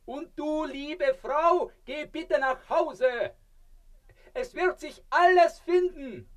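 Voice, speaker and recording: An actor playing a mild, pedantic married civil servant who speaks with absurd calm and deliberation in a radio comedy. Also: male voice, extremely high pitch, full character voice. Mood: placid, weary. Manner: placid, deliberate, calling out. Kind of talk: theatrical radio comedy acting.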